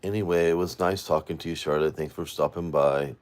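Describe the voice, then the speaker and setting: A man's voice: a bass voice, steady tone, brisk, darkish tone. A weary man, rambling and venting to viewers on a late-night livestream from home. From Florida, USA. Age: 40s. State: exhausted. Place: at home, indoors.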